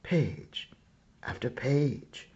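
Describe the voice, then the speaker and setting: A man reading a children's picture book aloud in a theatrical, expressive way with dramatic pauses. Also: mid-range voice, highs cut off. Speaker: storybook reader.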